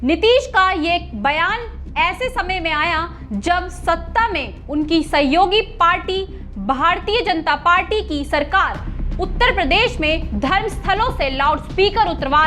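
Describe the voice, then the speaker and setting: A woman's voice: very high-pitched, sharp tone, slight background noise. A woman news anchor reading a scripted news report.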